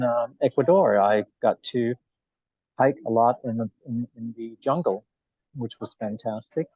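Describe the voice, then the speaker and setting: A middle-aged man from Denmark talks casually as a podcast guest. He rambles and interrupts himself as he tells anecdotes. His medium-pitched voice is recorded dry, with little room sound. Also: dark tone, dry recording, muffled recording. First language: Danish. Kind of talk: casual chat, travel anecdotes. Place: bar.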